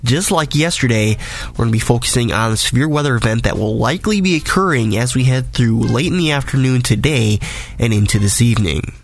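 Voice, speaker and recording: A man hosting a weather podcast as a meteorologist, his voice raspy from a cold, talking through the forecast in a conversational, detailed way. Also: mid-range voice, expressive, a little fast, a little hiss or hum.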